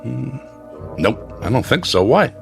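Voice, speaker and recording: A man's voice: medium pitch, very animated, a little slow, gravelly, soft background music. A man playing a folksy, inquisitive diner proprietor in a radio drama. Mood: puzzled, skeptical. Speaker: actor. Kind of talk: old-time radio drama acting.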